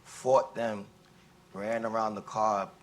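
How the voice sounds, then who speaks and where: Young man asking a halting question from the audience. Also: fairly low pitch, level tone. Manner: halting, many fillers and restarts. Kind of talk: rambling audience question at a panel. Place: conference room with an audience.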